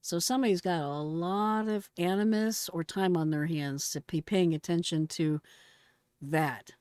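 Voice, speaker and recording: A woman's voice: on the low side, unhurried, darkish tone, clean tone, dry recording, clean studio sound. A middle-aged American woman giving casual, blunt and exclamatory reaction commentary in slangy speech. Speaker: retired emergency dispatcher reacting to a call recording.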